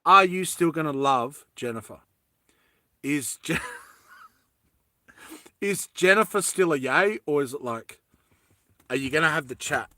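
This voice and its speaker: A man's voice: on the high side, expressive, unhurried, long pauses, sharp tone, dry recording. A man hosting a casual comic chat, talking in a slangy, teasing way. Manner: slangy, teasing, laughs often. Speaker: host.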